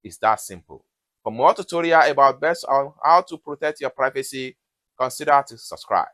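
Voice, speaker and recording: A man with a mid-pitched voice narrates a step-by-step tutorial. He gives instructions one at a time, often repeating his phrasing. The sound is dry and close to the mic. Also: normal speed, fairly bright tone, dry recording. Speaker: tutorial host.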